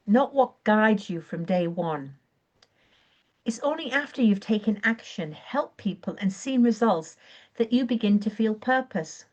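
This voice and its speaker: A woman coaching on a live stream, her voice croaky and her throat often clearing, talking in an earnest, encouraging way and now and then correcting herself. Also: medium pitch, darkish tone. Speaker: online business coach. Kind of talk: live-streamed training talk.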